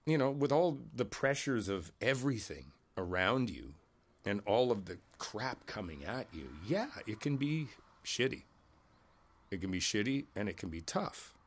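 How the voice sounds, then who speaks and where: A man playing a kindly, world-weary older neighbor in an ASMR roleplay, talking in a halting, reflective, rambling way. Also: lowish voice, very animated. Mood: understanding, gentle. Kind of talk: ASMR roleplay, intimate conversational monologue.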